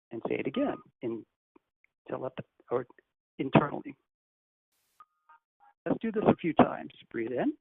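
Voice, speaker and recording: A man speaking as a channeled spirit teacher, guiding a breathing meditation in a slow, deliberate, instructive way. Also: mid-range voice, gravelly. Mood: guiding, hushed. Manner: slow, deliberate, instructive, softly hushed in exercises. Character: ascended master spirit, calm, commanding teacher. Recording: tinny phone line, faint hiss.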